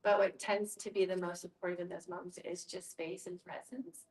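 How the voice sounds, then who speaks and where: A woman's voice: lowish voice, little pitch movement, moderate pace, darkish tone, recorded from a distance. Woman in an echoey room, off mic. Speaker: guest facilitator, hospice social worker. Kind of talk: casual reflective group discussion.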